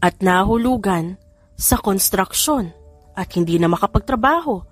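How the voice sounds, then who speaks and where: An older mother, played by a female narrator, worried and apologetic in an audiobook drama. Her pitch is medium, with music underneath. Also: expressive, unhurried, dry recording.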